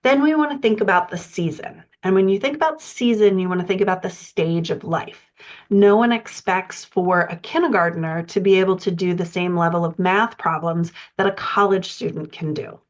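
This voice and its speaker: Female instructor, slightly low voice, orderly step-by-step teaching delivery, somewhat dull recording. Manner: measured, methodical, enumerates points. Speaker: writing coach. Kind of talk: instructional explanation.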